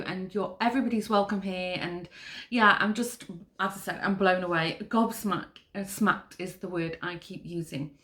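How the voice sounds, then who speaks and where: Woman, northern English Manchester accent, middle-aged, casual chat, lots of ums, medium pitch. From Manchester, England. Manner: chatty, rambling, with many fillers. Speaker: podcast host.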